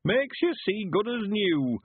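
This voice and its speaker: A man's voice: sits high, sing-song, unhurried, husky, no echo, narrow, tinny audio, voice pushed up, heavily acted. A man voicing a cheerful, sprightly rustic in his nineties with a rural West Country accent, speaking emphatic, folksy dialect for an audiobook. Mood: eager, fussing. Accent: rural English West Country. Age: 90s. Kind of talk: audiobook character voice.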